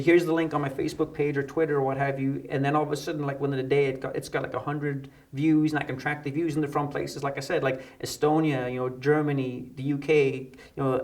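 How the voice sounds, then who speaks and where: A middle-aged man from the United Kingdom telling anecdotes as a guest in a conversational interview. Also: mid-range voice. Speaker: interview guest, electronic musician. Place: dark indoor studio set, seated.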